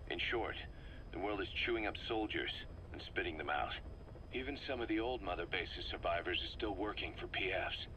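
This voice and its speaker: Game role: fervent mercenary deputy. Low, hard-edged, persuasive delivery, slight background noise. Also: male voice, bright, forceful tone. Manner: persuasive, emphatic, speechifying. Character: fervent, embittered male mercenary second-in-command briefing his boss. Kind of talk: video game dramatic dialogue. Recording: noisy cassette briefing with background rumble.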